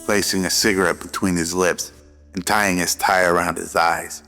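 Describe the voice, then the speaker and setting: Deep, even-toned male narrator in reverb. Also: normal speed. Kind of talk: scripted radio drama narration. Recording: long reverb over faint music.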